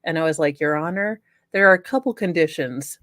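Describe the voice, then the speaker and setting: A woman's voice: sits low, brisk, a bit bright, no echo, studio quality. A woman hosting a comedy show, mixing theatrical, sarcastic dramatic reading with casual commentary. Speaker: show host.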